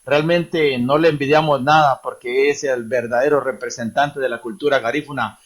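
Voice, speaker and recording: A man's voice: mid-range voice, steady tone, normal speed. A man hosting an online language class and interviewing a guest, formal and respectful, with frequent hesitations.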